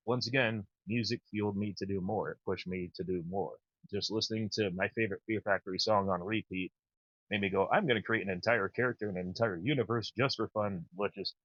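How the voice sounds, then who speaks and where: Man, thirties, low voice, rambling casual chat, dull dry lo-fi recording. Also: fairly bright tone, slightly rough, no room sound. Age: 30s. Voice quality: naturally low voice. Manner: rambling, enthusiastic. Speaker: podcast host.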